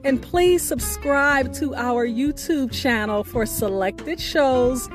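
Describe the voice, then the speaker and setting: Slow, high-voiced woman podcast host. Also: music in the background. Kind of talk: scripted podcast sign-off with a recited poem.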